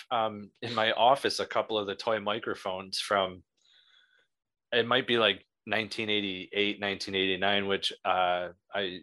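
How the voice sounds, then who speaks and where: A man's voice: lowish voice, fairly bright tone. A man hosting an interview, chatting like a fan with many fillers and restarts. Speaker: podcast host. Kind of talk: casual interview.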